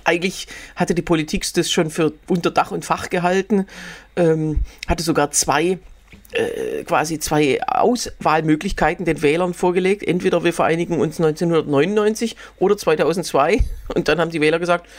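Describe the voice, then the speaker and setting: A man's voice: on the high side, brisk. A man from Berlin co-hosting a podcast, chatting casually with many fillers. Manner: talkative, hesitant with fillers, laughs easily. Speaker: podcast co-host. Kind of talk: casual chat.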